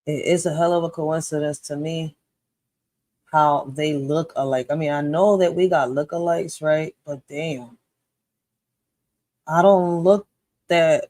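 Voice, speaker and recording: A man's voice: fairly high pitch, a little mellow, no room sound. A man in his thirties hosting a reaction video, talking casually and bluntly with swearing and rhetorical questions as he thinks aloud. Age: 30s.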